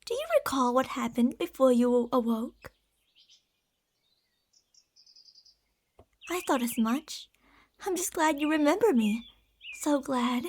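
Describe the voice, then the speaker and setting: A woman playing a possessive, tender but deceitful lamia in an ASMR roleplay, speaking softly and formally, at times slipping into whispers. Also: high voice, lively intonation, moderate pace. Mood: soothing, concerned. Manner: soft, formal, soothing speech with breathy whispers.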